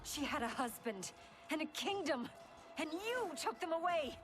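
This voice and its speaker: An actress playing an anguished, righteous warrior princess in a video game, earnest and accusing. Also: female voice, high voice, sing-song, unhurried. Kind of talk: video game cutscene acting. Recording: music behind the voice. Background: cinematic score.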